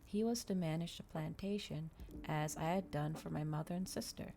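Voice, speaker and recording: Low, mellow woman reading softly, ASMR style. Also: normal speed, vocal fry, slight background noise. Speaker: streamer reading a novel aloud.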